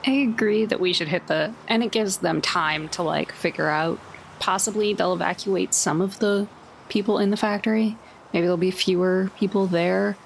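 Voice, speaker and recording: A woman's voice: medium pitch, moderate pace, creaky, a little hiss or hum, poor audio. A woman talking casually as a player in a tabletop roleplaying game.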